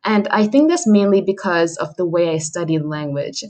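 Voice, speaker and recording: A woman's voice: fairly low pitch, lively intonation, normal speed, a little mellow. A young adult woman chatting casually and self-deprecatingly, as a livestream host introducing herself. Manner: self-deprecating, chuckles while talking. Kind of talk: casual chat monologue.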